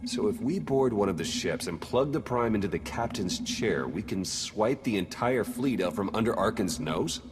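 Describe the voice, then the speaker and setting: An actor as a lively low-voiced soldier. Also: male voice, moderate pace, hardly any pauses, in a large hall, natural voice. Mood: eager. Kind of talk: video game cutscene acting.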